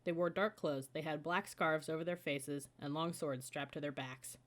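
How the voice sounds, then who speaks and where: A woman reads a children's audiobook in a slightly low voice. She narrates the story as a storybook reader, carrying the listener through the scenes. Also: steady tone, moderate pace.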